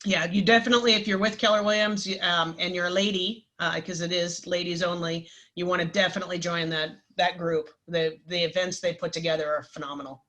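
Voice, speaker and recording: A woman's voice: lowish voice, steady tone, brisk, few pauses, a bit bright. Chatty woman host calling guests "hun". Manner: chatty and encouraging, calls the guest "hun", many fillers and restarts. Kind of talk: casual live interview.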